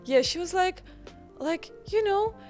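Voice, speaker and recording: A woman's voice: very high-pitched, animated, unhurried, faint music behind. Chatty Ukrainian-accented young woman. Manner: chatty, many fillers, non-native grammar. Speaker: guest, bakery founder. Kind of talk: casual interview chat.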